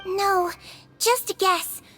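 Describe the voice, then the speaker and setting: A woman voicing a small, gentle, earnest young nurse in a video game. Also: extremely high pitch, takes it slowly, faint music behind, full character voice. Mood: curious, thoughtful. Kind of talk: English game voice acting.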